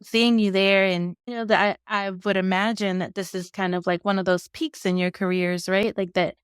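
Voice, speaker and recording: A woman hosting a podcast, asking gentle, halting questions full of fillers. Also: mid-range voice, level tone, clean tone, no room sound, studio quality. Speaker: podcast host. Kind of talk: podcast interview.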